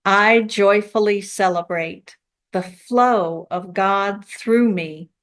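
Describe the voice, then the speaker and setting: A woman leading prayer and the offering at a spiritual service, phrasing things in a measured, deliberate, reverent way. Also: mid-range voice, fairly bright tone. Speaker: service leader at a spiritual center.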